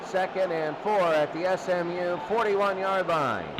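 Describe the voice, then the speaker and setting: A man calling football play-by-play, getting excitable when the action picks up. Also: high-pitched, unhurried. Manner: excitable on big plays. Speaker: play-by-play sports commentator. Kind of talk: American football game commentary. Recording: clear background noise.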